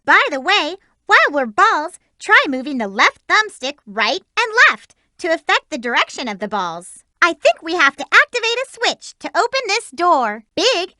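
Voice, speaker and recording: A woman's voice: high voice, sharp tone, no room sound, studio quality, exaggerated voice. A woman voicing a peppy, eager young female hedgehog heroine for a video game, giving upbeat instructions to her team. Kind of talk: game voice clips.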